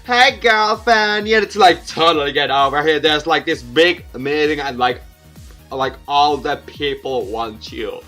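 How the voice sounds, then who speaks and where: A man's voice: fairly high pitch, measured pace, sharp tone, soft background music. A man voicing a chatty, ditzy valley-girl style assistant from a game, gushy and drawling. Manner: drawling, gushy, filler-laden.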